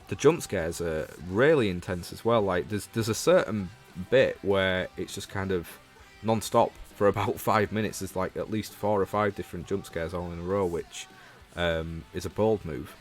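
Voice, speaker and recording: Male livestreamer, low voice, Northern English accent, informal game chat over faint background music. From Leeds, England. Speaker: livestreamer and show host. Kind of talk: casual livestream chat about games, replying to viewers.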